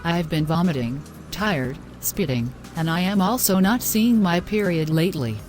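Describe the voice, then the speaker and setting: A woman's voice: lowish voice, normal speed, darkish tone, slight background noise, natural voice. A woman playing a devout, distressed young girlfriend in a scripted moral story, speaking earnestly in formal phrases. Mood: anxious, distressed.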